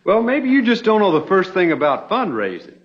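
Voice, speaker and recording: Animated, self-assured young Western gentleman. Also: high voice, fairly quick. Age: young adult. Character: smooth-talking, self-assured young stranger in Old West. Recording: muffled vintage TV soundtrack.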